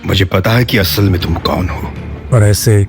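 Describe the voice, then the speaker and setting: A man acting a wealthy, stern, stubborn elderly grandfather in an audio drama, speaking in a commanding, deliberate way. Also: low voice. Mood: grave, earnest. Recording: loud background music under the voice.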